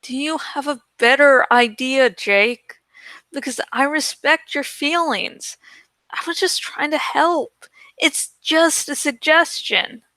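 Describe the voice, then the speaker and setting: A woman reading a gentle, slightly pouty teenage girl in a storybook, with an earnest, tentative manner. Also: high-pitched, takes it slowly, dry recording, voice pushed up, heavily acted. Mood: defensive.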